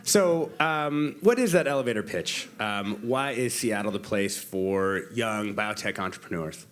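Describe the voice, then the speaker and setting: A man's voice: fairly low pitch, measured pace. An American man from Washington State conducting an on-stage interview in an informal, joking manner. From Washington State, born in Mexico City. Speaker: interviewer, staff writer.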